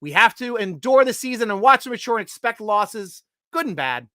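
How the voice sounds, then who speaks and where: A man hosting a live sports stream, reading viewer comments and cracking jokes. His voice is bright and forward. He swings from loud shout-outs to low asides, and the sound is dry and close. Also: animated, brisk, no room sound. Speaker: host.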